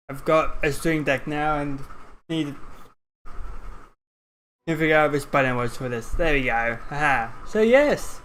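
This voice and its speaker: Man, game livestream host, unhurried delivery, casual rambling, self-deprecating, faint background noise. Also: fairly high pitch, poor audio. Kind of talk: casual livestream chat over gameplay.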